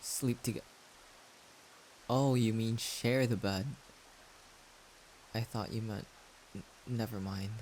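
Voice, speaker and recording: A man playing a caring, shy young friend in an intimate audio roleplay, soft-spoken and hesitant as he gently reassures the listener. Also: on the low side, fairly even intonation, slow, pauses often, poor audio. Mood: awkward, hesitant. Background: rain-like noise.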